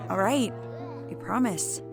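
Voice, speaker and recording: Wry young woman, slightly creaky voice. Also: mid-range voice, slow. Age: young adult. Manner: dry, sarcastic, hesitant. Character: wry, guarded young woman grieving her uncle. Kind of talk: naturalistic audio drama acting. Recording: music bed behind the voice.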